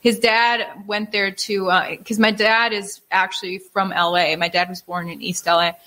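A woman co-hosting a casual talk show in a mid-pitched, conversational voice. She moves between reading a news item and chatting off the cuff, with plenty of fillers and restarts. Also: flat delivery, moderate pace, bright, forceful tone. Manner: conversational, with frequent fillers when speaking off the cuff. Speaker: co-host.

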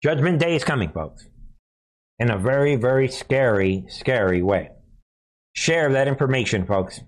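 A man's voice: medium pitch, lively intonation, moderate pace. A man hosting an informal commentary show, speaking to viewers in short, preachy, alarmed phrases with sarcastic asides. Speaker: host and commentator.

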